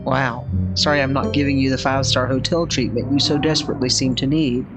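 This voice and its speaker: A curt, haughty woman from a visual novel, read by an older female player. She is a grudging hostess, dry and dismissive in clipped phrases. Music plays clearly behind her. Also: very deep voice, steady tone, fast. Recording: background music under the voice.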